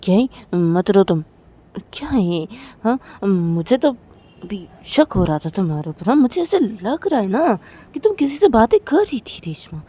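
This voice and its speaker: An insecure, loving wife played by a woman in an audio drama, with a mellow, medium-pitched voice. She is possessive and suspicious, coaxing one moment and nagging with repeated questions the next. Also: very animated, normal speed, slightly rough.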